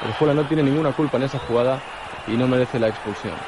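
A noisy archive broadcast of a male football commentator with a Spain Spanish accent. His medium-pitched voice describes replays in a measured, explanatory way over clear background ambience.

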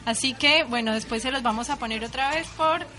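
A woman from Colombia, chatting casually as a radio co-host, bubbly and quick to laugh at herself. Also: high voice, sharp tone. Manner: bubbly, laughs often, self-deprecating. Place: radio studio.

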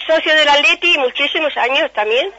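Fast-talking Spanish woman on the phone. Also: high-pitched, pushed and bright. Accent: Spain. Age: middle-aged. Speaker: prank call recipient. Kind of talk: casual phone conversation. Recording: muffled telephone line.

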